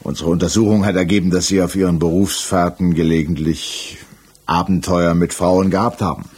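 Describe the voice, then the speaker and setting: An actor in a 1960s German radio play, playing an examining judge who questions in a pointed, insistent, official and impatient way. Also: male voice, deep voice, steady tone, fairly quick, darkish tone, breathy edge. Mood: dry, sceptical.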